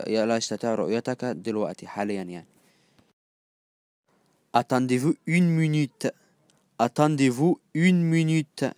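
A man with an Egyptian accent teaching phrases, repeating each one slowly and then glossing it in quick, casual asides. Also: medium pitch, a little mellow, dry recording, studio quality. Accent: Egyptian Arabic. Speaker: language teacher. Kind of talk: phrase-by-phrase language lesson.

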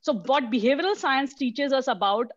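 A woman in her forties from Mumbai giving a mentorship talk over a video call, halting with many fillers and restarts. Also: high voice, animated, no room sound. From Mumbai, India. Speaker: guest speaker. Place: small room indoors. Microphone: headset on a video call. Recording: dull lo-fi video call audio.

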